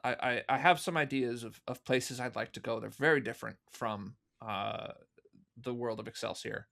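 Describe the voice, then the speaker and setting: A man's voice: mid-range voice, normal speed, creaky, pushed and bright. A man speaking as a podcast guest, explaining his production work in a casual, conversational way. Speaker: interview guest, fan audio drama producer.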